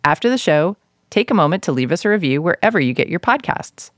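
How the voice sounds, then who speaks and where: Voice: mid-range voice, very animated, fast, a bit bright, dry recording, studio quality. A podcast host who moves between interview chat and scripted show announcements.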